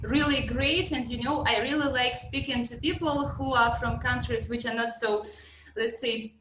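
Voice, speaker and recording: A woman whose first language is Russian, interviewing a guest in careful, halting English with fillers. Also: a little high, steady tone, echoey room, noisy background. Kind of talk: casual interview chat.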